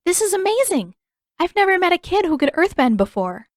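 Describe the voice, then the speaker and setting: A woman plays an excitable teenage girl from an adventure story. She is friendly and headstrong, speaking up high in a close, dry recording. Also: brisk, no room sound. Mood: excited, friendly.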